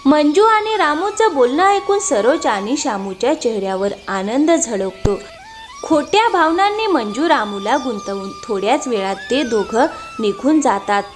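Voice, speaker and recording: A woman's voice: high-pitched, big pitch swings, measured pace, faint music behind. A woman reading scripted narration for a moral story.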